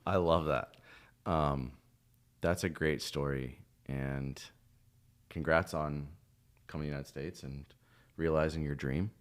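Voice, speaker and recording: Very deep-voiced man hosting an interview. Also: unhurried, clear, resonant tone. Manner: relaxed, many fillers, encouraging backchannels. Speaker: host, café owner. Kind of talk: casual interview.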